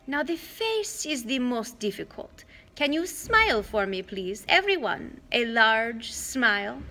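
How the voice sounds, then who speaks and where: A woman voicing a soothing old female monster who poses as a kindly grandmother, with a slow, purring, coaxing manner, in a storybook reading. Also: a little high, sing-song, bright, forceful tone, pitched above the performer's own voice, heavily acted. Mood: sweetly polite.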